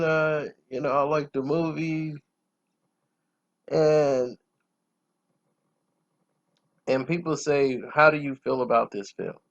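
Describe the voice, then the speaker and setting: A man delivers a casual film review in a slow, creaky voice. He is emphatic and sure of himself, breaking thoughts into short phrases with dramatic pauses. The audio is a little dull. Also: a little high, a bit bright. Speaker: movie reviewer.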